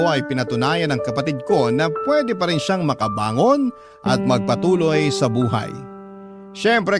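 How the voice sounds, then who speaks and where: An actress playing an outspoken, loyal young sister in an audio drama, who scolds her brother bluntly, comforts him tenderly, and narrates her own letter. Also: deep voice. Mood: narrating. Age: young adult. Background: soft music under dialogue, louder music under narration.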